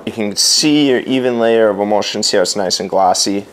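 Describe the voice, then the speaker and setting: Low-voiced young man talking through shop work. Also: fairly quick, slight background noise. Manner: casual, explains steps as he works. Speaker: screen printing shop owner. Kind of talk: casual workshop vlog walkthrough. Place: large workshop with wooden floors.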